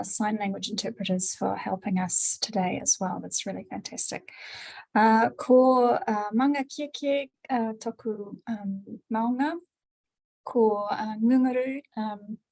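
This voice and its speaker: A woman's voice: on the high side, creaky, a little mellow, rough recording. A woman researcher presenting findings, hesitant with many fillers. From New Zealand.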